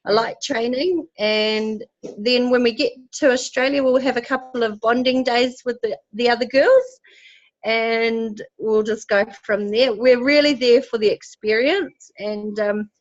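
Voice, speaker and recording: A woman from New Zealand's far north with a New Zealand accent, a netball team organiser answering interview questions in a relaxed, friendly way. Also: fairly high pitch, moderate pace, highs cut off. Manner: relaxed, friendly, some ums.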